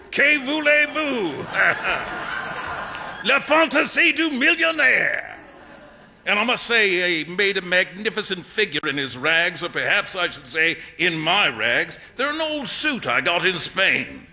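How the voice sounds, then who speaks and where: A man's voice: high-pitched, sing-song, measured pace, sharp tone, voice pushed up, exaggerated voice. A man voicing a flamboyant, worldly English painter in a storybook, teasing and exuberant in a theatrical manner. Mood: amused boasting. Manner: theatrical, teasing, exuberant, slipping into French phrases. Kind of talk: acted storybook dialogue. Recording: echoey hall with long reverb.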